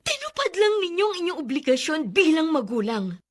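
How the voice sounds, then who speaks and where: A woman's voice: high-pitched, wildly swooping pitch, a little fast. A Filipino actress playing an anguished, defiant young mother, pleading emphatically in a melodramatic radio drama. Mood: tearful protest.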